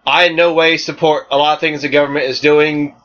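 Man, Southern American accent, bright voice, rambling, casual podcast chat, muffled audio. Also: a little high, level tone, a little fast. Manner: rambling, many fillers. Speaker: podcast co-host.

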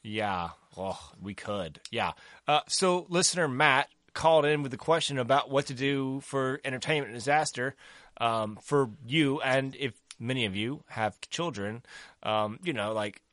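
Bright-voiced Southern man bantering on a podcast. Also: mid-range voice, animated, measured pace, no echo. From Southern United States. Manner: joking, profane, rambling with restarts. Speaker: podcast co-host.

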